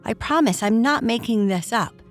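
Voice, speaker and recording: A woman voicing an earnest, excitable young girl who pleads with her mother in a children's bedtime story. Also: fairly high pitch, normal speed, faint music behind, voice pushed up, close to an everyday voice. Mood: insistent.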